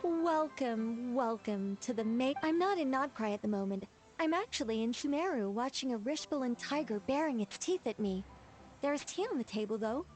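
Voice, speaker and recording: A woman's voice: on the high side, expressive, normal speed, a little mellow, soft background music, natural voice. A woman voicing a whimsical angel mage in a video game, speaking like an eloquent, theatrical storyteller. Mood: breezy.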